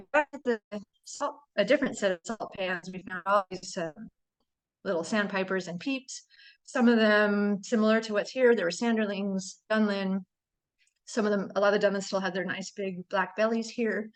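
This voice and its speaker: Woman, slide talk presenter, losing her voice, throat-clearing, filler ums, enthusiastic, from north america. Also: mid-range voice, steady tone, moderate pace. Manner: enthusiastic, chatty.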